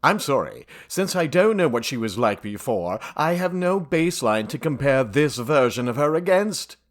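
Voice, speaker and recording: A man's voice: medium pitch, big pitch swings, moderate pace, natural voice. Man acting an officious doctor with swinging intonation. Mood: dismissive, deadpan. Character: officious, deadpan, dismissive doctor. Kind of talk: comedic audio drama acting.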